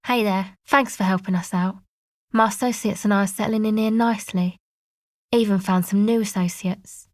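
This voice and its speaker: A laid-back young woman from a video game, played by an actress, with a mid-pitched voice. She talks in casual slang with a sardonic, deadpan delivery. The sound is very dry, with no room around it. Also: dry recording, close to an everyday voice. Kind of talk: video game character dialogue.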